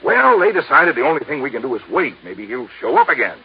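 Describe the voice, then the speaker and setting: An American actor playing a folksy, talkative male insurance agent with a rough, husky voice in an old radio drama. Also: mid-range voice, sing-song, quick pace, exaggerated voice. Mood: earnest explaining. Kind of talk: 1950s radio drama acting. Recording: muffled vintage radio recording.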